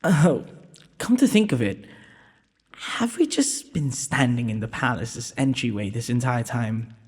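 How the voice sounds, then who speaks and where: A man's voice: mid-range voice, expressive, a little slow, husky. A man playing a shy, tender, self-doubting young prince in a romantic audio roleplay, speaking in hesitant, formal courtly phrases. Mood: delighted. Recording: long hall-like reverb.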